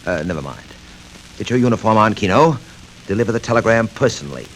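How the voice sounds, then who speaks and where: An actor playing a smug, calculating gang boss in 1940s radio style, deliberate and commanding, with mocking amusement. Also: male voice, lowish voice, expressive, lightly acted. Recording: noisy, crackly old radio show record.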